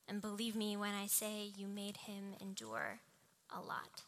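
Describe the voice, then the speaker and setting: A woman's voice: medium pitch, completely flat delivery, unhurried, fairly bright tone, slight background noise. A young woman preaching a sermon with personal anecdotes, in a small, cartoonish voice. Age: young adult. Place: live talk before a congregation.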